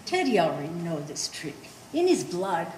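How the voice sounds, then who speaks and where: On an echoey stage, a woman plays a devoted immigrant mother. Her broken English comes in short, blunt phrases with swinging pitch, over faint noise. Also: very animated, moderate pace, darkish tone. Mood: wary, curious. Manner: terse broken English, dropped words. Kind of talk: live one-act stage play. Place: art gallery performance space.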